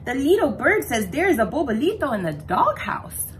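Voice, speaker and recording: Woman voicing a high, cheeky little boy kitten. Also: big pitch swings, normal speed. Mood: excited. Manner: grandiose, playful, defiant. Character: cheeky, boastful little boy kitten who believes he's a chihuahua. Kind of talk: children's storybook character voice.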